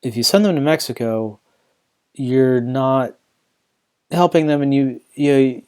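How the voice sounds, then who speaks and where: A man's voice: medium pitch, slow, dark tone, smooth. A man hosting a podcast, talking through his views in a loose, rambling way with frequent restarts and fillers. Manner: rambling, many restarts and "you know" fillers. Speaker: podcast host. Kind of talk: casual solo podcast commentary.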